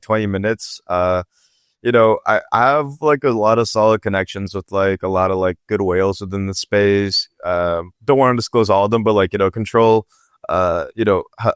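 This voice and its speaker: Low, smooth-voiced man talking casually. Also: no echo, studio quality. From Pakistan. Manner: casual, filler-heavy, lots of "like" and "right?". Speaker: guest, startup founder. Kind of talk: casual podcast interview.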